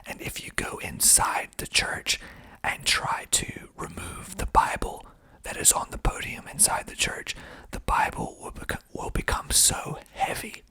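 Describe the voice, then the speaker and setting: Man, ASMR whisper, very breathy, unhurried pace, from the southern US. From southern United States (Georgia). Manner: hushed, deliberate, conversational. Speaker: filmmaker hosting his own channel.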